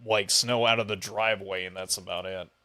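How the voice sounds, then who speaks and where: A man's voice: low-pitched, fairly even intonation, a little fast, pushed and bright. A man from the United States hosting a podcast, chatting casually and interviewing his guest. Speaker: podcast host.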